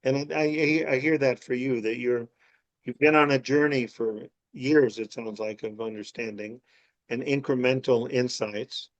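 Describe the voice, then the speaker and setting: A man answering a listener's question in a calm, reflective teaching manner, stopping now and then to clarify. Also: mid-range voice, measured pace. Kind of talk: gentle conversational teaching. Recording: dull lo-fi audio.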